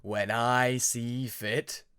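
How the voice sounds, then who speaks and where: Guy doing a menacing shadowy dragon for a story, super slow n drawn out, taunting, dry recording. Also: mid-range voice, little pitch movement, a bit bright, clear, resonant tone, dry recording, voice pushed down. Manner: drawn-out, ominous delivery.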